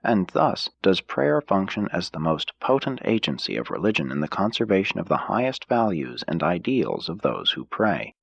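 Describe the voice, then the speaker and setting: Deep-voiced male audiobook narrator, slightly husky. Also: no echo, dull audio.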